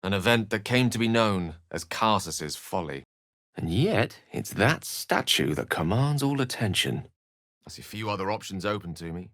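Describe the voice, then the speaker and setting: A man's voice: on the low side, expressive, normal speed, fairly bright tone, no echo, studio quality. A man voicing an articulate, bookish human wizard in a video game, wry and gallant, with theatrical, wordy delivery. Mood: grave, explaining. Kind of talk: video game character acting.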